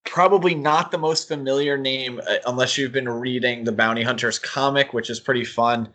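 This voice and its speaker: A man's voice: mid-range voice, fairly even intonation, moderate pace, fairly bright tone. A man co-hosting a podcast, talking casually and thinking aloud as he speculates, with hedges and asides. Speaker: podcast co-host.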